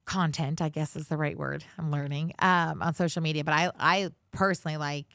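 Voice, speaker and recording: A woman in her forties talks in a relaxed, chatty way, looping through fillers and restarts as she explains her work. Her voice sits low and comes through smooth and clean, in a dry room with no echo. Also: a little fast. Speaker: guest, commercial real estate director. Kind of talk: casual interview conversation.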